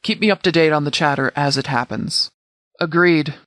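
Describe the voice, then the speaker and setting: A man playing a stern, formal sheriff in a video game, giving orders in a measured, authoritative way. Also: a little high, a bit bright, no echo, clean studio sound, close to an everyday voice. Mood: serious, measured. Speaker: sheriff and commander. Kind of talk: scripted game dialogue, mission briefing.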